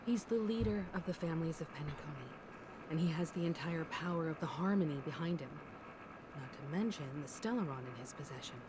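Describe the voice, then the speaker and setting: Low-voiced dignified female leader. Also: lively intonation, noisy background. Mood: grave. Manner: composed, measured. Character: calm, cautious, dignified female crew leader. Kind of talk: video game voice acting.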